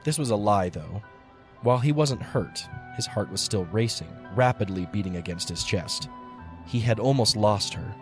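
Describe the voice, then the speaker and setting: A man's voice: fairly low pitch, animated, normal speed. A man narrating a dramatic story as a scripted audio drama narrator. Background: music behind the voice.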